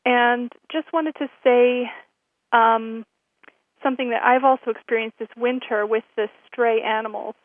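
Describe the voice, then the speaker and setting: A woman hosting a radio show, hoarse from a cold, giving friendly announcements with many fillers. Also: fairly high pitch, steady tone, a little slow, no echo. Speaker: host. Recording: thin phone-line sound.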